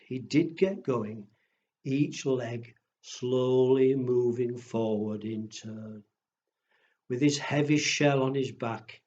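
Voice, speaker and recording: Slow, deliberate man telling stories to children. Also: medium pitch, a little mellow, lo-fi sound. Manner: slow, deliberate, addressing small children with questions. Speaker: children's storyteller. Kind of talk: storytime for pre-school children, simple narration and rhymes.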